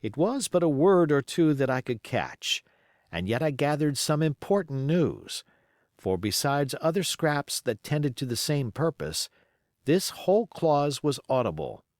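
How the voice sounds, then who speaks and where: Slow, expressive male audiobook narrator. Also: fairly high pitch, no echo, clean studio sound. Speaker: audiobook reader. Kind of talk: expressive scripted story narration.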